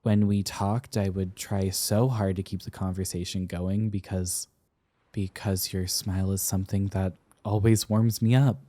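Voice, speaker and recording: A man's voice: deep voice, flat delivery, unhurried, no echo. A young man playing a tender, flustered college-age boyfriend in an intimate romantic roleplay, with hesitant, stammering delivery. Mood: earnest, wistful. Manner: hesitant, stammering, soft-hearted.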